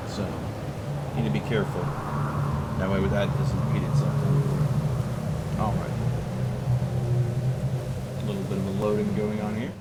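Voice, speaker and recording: A man's voice: lowish voice, level tone, moderate pace, pauses often. A man giving casual gameplay commentary as a gaming streamer. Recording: loud game noise behind voice.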